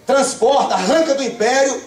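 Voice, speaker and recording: A young Brazilian man with a Rio de Janeiro accent, preaching a fervent revival sermon with call-and-response and bursts of shouting. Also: extremely high pitch, moderate pace. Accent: Rio de Janeiro Brazilian Portuguese. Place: church sanctuary. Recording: echoey church from far off.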